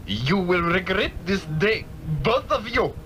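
An actor voicing a menacing, boastful spirit slave in a 1960s radio drama, theatrical and gloating. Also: male voice, high voice, big pitch swings, fairly bright tone, slight background noise, full character voice. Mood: threatening. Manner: theatrical, gloating, drawn-out threats. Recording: old radio drama with music behind.

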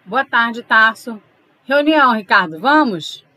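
High-voiced confident seductress. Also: female voice, animated, slow, bright, forceful tone, exaggerated voice, rough recording. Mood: brisk. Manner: flirtatious, bantering. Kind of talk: audio drama acting.

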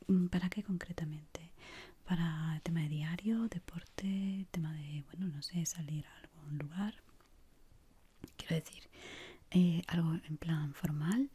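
A woman with an accent from Spain whispers gently and soothingly in a breathy voice, playing an ASMR shop assistant up close to the mic. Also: lowish voice, takes it slowly, pauses often. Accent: Spanish from Spain. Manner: soft, gentle, soothing, many diminutives. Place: small indoor room. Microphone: close microphone. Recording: close mic with clear background noise.

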